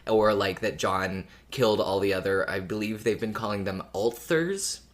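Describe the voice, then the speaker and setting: A podcast host chatting casually about a favourite story, in an expressive, emphatic way. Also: low voice, normal speed. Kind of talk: casual fan podcast chat.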